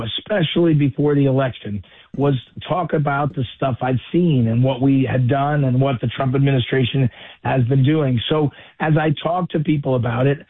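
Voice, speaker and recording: A man with a voice going hoarse, a radio guest talking casually, repeating and correcting himself. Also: medium pitch, no room sound. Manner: chatty, self-correcting, repeats words. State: losing his voice. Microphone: phone or call-in line. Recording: tinny phone line.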